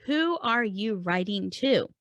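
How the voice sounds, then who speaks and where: A woman's voice: medium pitch, expressive, slow pace, fairly bright tone, dry recording, muffled recording. A woman podcast host coaching writers in a conversational, emphatic way, putting questions and points straight to the listener. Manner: emphatic, rhetorical, addresses listener directly. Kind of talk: conversational instructional podcast talk.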